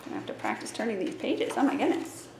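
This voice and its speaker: A young woman with a high, bright voice talks in a chatty, upbeat, teacherly way. She sounds like she is in her twenties. Her voice echoes around a roomy space, with faint noise underneath. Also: huge pitch swings, fast talker, gravelly. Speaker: library science student and story time planner. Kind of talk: casual teaching vlog. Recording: echoey room.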